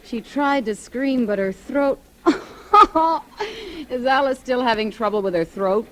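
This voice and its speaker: A woman's voice: high-pitched, animated, slow, a bit bright, heavily acted. An actress playing a sassy, blunt newspaper reporter in a 1940s radio drama, teasing and frank with her sweetheart. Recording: noisy vintage 1940s radio recording.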